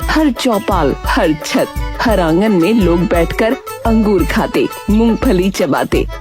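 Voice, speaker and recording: Woman narrating a story, lively intonation. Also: mid-range voice, slow pace. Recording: music behind the voice.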